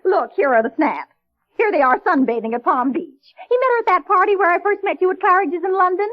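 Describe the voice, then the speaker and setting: A woman's voice: very high voice, lively intonation, brisk, muffled recording. An actress playing a gushing, bossy, gossipy old society lady in a 1930s radio drama. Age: older. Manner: imperious, effusive, chattering.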